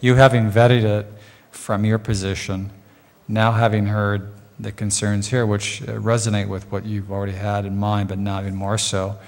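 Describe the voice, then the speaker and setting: A man's voice: on the low side, level tone, moderate pace. A man on a community board, speaking at length in an earnest, diplomatic way at a public meeting. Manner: earnest, long-winded, diplomatic. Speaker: community services district board member. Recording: reverberant meeting hall.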